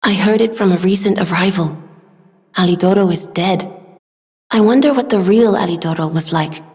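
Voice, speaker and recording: Actress doing a soft-spoken wistful young weapons smith girl for a video game, polite and earnest, big reverby hall. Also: fairly low pitch, normal speed, lightly acted. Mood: wistful, curious.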